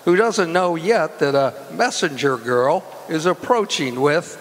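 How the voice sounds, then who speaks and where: A man announcing in old-time radio style, reading scripted narration and sponsor copy in a formal pitchman manner. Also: expressive, a little slow, low-quality recording. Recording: loud music under the voice. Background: loud music.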